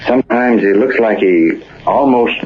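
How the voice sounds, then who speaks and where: An actor playing a simple, good-natured miner in an old radio western, talking in a plain, folksy frontier drawl. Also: male voice, mid-range voice, normal speed, close to an everyday voice. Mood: puzzled, uneasy. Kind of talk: 1940s radio western acting. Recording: tinny, noisy old-time radio recording.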